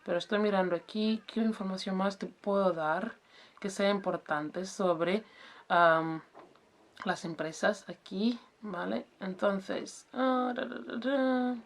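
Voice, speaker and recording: A woman's voice: mid-range voice, steady tone, takes it slowly. A woman whose first language is Portuguese, casually explaining self-employment rules and often stopping to search for words and correct herself. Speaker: business advice vlogger.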